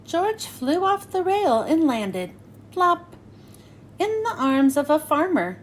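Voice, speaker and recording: A woman reading a picture book aloud for children, in an expressive, sing-song storytelling manner. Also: high-pitched, slow pace, a little hiss or hum.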